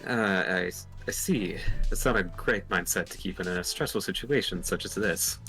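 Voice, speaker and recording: A man voicing a calm, philosophical yoga guru in a video game, speaking in measured, formal, politely preachy phrases. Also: low-pitched, faint music behind, rough recording. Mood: serene, preachy. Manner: measured, formal, serene lecturing.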